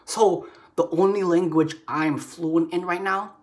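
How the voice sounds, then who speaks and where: A man in his thirties whose first language is Khmer, talking to a webcam in a casual, blunt monologue, forcing out each word deliberately around a slight speech impediment. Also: high voice, fairly even intonation. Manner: deliberate, forced articulation with pauses; emphatic, blunt, gestures a lot. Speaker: vlogger. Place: dim room indoors.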